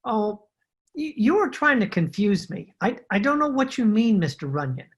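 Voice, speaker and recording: Lady doing a shifty nervous guy in a radio crime play, raspy, very up and down, stammery n super polite. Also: a little high, very animated, moderate pace, muffled recording. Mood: evasive, flustered. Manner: hesitant, stammering, formally polite. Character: nervous, evasive, polite foreign man, petty swindler. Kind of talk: old-time radio detective acting. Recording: home recording over video call.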